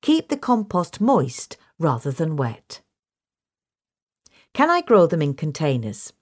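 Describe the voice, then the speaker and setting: A woman's voice: low-pitched, wildly swooping pitch, slow pace, darkish tone. A woman with a British accent reading a scripted instructional magazine article as narrator.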